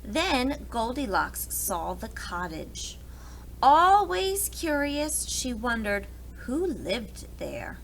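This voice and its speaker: A woman reading a storybook aloud to young children in an expressive way, pausing to explain things to them. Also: on the high side, gravelly, slight background noise, low-quality recording. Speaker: preschool read-aloud teacher.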